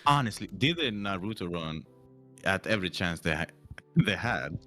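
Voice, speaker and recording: A young man chatting casually, halting and repeating words as he goes, with casual swearing. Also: low-pitched, animated, bright, forceful tone, soft background music. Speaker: podcast co-host. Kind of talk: casual chat.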